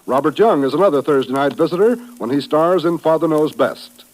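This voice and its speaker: A man's voice: fairly high pitch, fairly quick, darkish tone, low-quality recording. Formal 1950s radio announcer man. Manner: formal, emphatic promotional delivery.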